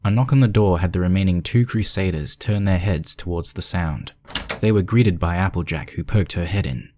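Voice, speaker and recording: A man's voice: sits low, normal speed, background noise. A man reading scripted story narration as the narrator.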